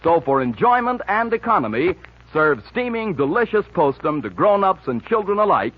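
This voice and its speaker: A man with an American accent announcing and pitching in polished, persuasive 1940s radio style. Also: very animated, moderate pace. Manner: polished, persuasive, smooth phrasing. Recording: muffled vintage 1940s radio broadcast.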